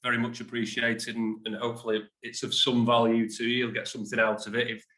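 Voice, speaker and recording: A man's voice: mid-range voice, completely flat delivery, quick pace, sharp tone, reverberant, low-quality recording. A man presenting a business webinar, self-deprecating and hesitant with frequent fillers. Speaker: insolvency practitioner presenting a webinar.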